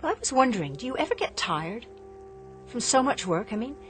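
An actress playing an inventor's restless adult daughter in a radio drama, questioning insistently. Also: female voice, on the high side, very animated, fairly quick. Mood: probing. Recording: music under the dialogue.

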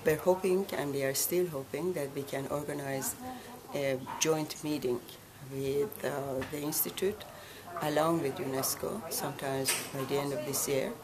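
A woman in her sixties, born in Iran, answering interview questions in a measured, deliberate way with frequent pauses. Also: low-pitched, fairly even intonation, crowd noise. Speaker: guest, association president.

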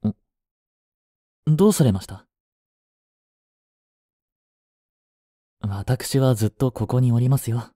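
Casting: male actor as a cool young butler, formal, devoted and jealous, dark mellow tone, close dry sound, romantic audio drama. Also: medium pitch, a little slow, dry recording, lightly acted. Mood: gentle, attentive. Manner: formal, polite to his mistress, curt to rivals.

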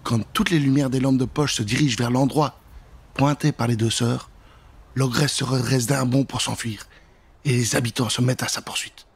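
A man narrates a spooky story for children with theatrical suspense. His voice sits at a medium pitch with a husky grain, close on a studio mic. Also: fairly even intonation, normal speed. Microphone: studio microphone with pop filter.

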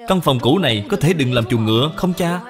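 A man's voice: medium pitch, expressive, brisk, voice pushed up, natural voice. A man voicing a cheeky, blunt young boy, a tattling general's son in a cartoon. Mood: pert, insistent. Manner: blunt, pert. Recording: long reverb, background music.

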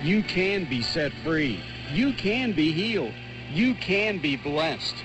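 Shortwave radio announcer over music. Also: male voice, fairly high pitch, sing-song, poor audio. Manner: measured, formal delivery. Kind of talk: scripted station announcements. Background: music bed.

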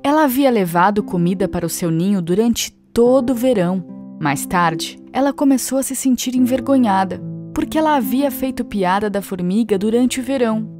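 A Brazilian woman tells a children's story. Her voice is on the high side, expressive and clearly paced. Music runs behind the narration. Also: moderate pace.

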